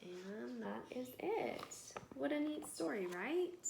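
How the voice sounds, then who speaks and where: Female read-aloud storyteller, unhurried and teacherly, expressive picture-book delivery, echoey room with faint noise. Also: high-pitched, creaky.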